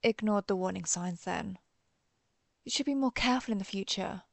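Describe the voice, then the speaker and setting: A woman playing a cool, menacing, centuries-old vampire hunter in a roleplay audio drama, deliberate and sardonic as she interrogates the listener. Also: mid-range voice, vocal fry, no room sound. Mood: knowing, sardonic. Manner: deliberate, interrogating, dryly threatening.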